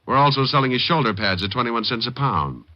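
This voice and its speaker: An actor playing a cocky, wisecracking private detective posing as a butcher in an old radio drama, glib and sardonic. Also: male voice, mid-range voice, animated, a little fast, natural voice. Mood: glib, mocking. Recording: dull lo-fi vintage radio recording.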